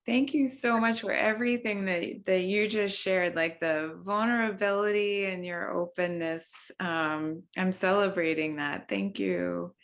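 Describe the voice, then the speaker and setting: A woman leading a video-call mindfulness session in a gentle, unhurried way, with frequent ums and restarts. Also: mid-range voice, fairly even intonation. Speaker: workshop facilitator. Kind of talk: gentle guided mindfulness talk.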